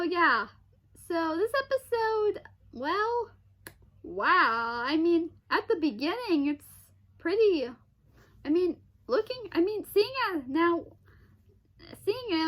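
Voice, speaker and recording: A young woman hosting a casual, unscripted commentary, halting as she repeats and rephrases, with exclamatory reactions. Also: very high-pitched, fairly bright tone. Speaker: online video host.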